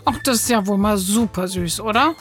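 A woman's voice: medium pitch, a little fast, faint music behind. A woman interviewing in a conversational children's audio show, prompting and reacting expressively. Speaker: interviewer and host.

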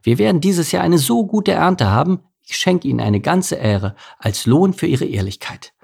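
A man's voice: fairly high pitch, big pitch swings, normal speed, vocal fry, a little mellow, breathy edge, voice pushed up, exaggerated voice. A man voicing a cheerful, chatty female mouse in a children's story, pleased with herself. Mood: happy, proud.

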